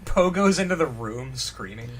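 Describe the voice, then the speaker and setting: A man's voice: medium pitch, animated, moderate pace. A man co-hosting a comedy podcast, reading a story aloud and mocking its wording in casual banter. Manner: jokey, mocks the story's wording. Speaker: podcast co-host reading fan fiction aloud.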